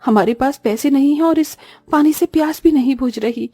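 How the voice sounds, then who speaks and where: A woman's voice: high-pitched, moderate pace, dark tone, no echo, soft background music, natural voice. A woman voicing a burdened but caring orphaned eldest sister, a young woman in a cartoon story, speaking wearily and reassuringly. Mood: weary, worried. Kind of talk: Hindi cartoon story voice acting.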